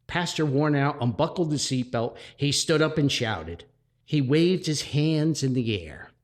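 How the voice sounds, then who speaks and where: A man reading a comic story aloud as a podcast host, with theatrical pauses and emphasis. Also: a little high, lively intonation.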